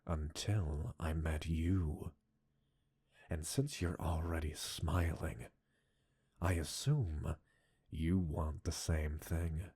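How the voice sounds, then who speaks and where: Guy doing obsessive mad scientist captor, dark low husky voice, intimate roleplay audio, teasing and dominant. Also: exaggerated voice. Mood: coolly menacing. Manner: slow, deliberate, dominant, teasing. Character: obsessive, menacing mad-scientist captor, coolly possessive and seductive. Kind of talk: intimate scripted roleplay addressed to listener.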